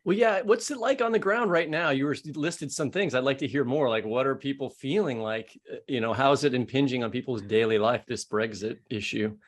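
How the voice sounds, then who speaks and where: A man's voice: medium pitch, brisk, few pauses. A man co-hosting a podcast, chatting and asking questions in a casual, informal way. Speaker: podcast co-host. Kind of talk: casual interview chat.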